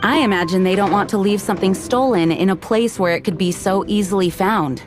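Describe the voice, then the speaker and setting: A dutiful female knight commander from an anime game, played by a woman. Her mid-pitched voice is measured, formal and earnest, with music clearly playing behind it. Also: natural voice. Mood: composed. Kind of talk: anime-style video game acting.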